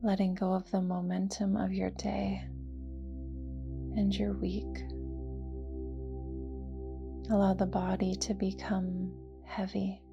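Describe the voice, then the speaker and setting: Woman, meditation teacher, soft near-whisper, very even tone, creaky edges, gentle and soothing, music behind. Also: mid-range voice, highs cut off. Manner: gentle, unhurried, measured phrasing. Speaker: yoga nidra teacher. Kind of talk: guided meditation, slow and soothing.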